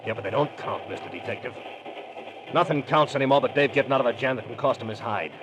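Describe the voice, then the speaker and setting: A man's voice: fast talker, close to an everyday voice. An actor playing a menacing, tough gunman protective of his brother in an old radio crime drama, with hard, slangy, threatening talk. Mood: bitter, menacing. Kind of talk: 1940s-50s radio crime drama acting. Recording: noisy old radio recording, train rumble behind.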